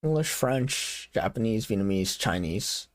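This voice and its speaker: A man's voice: on the low side, lively intonation, unhurried. A young adult man streaming a game in casual chat, joking about himself and laughing often. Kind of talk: casual live-stream chat while gaming.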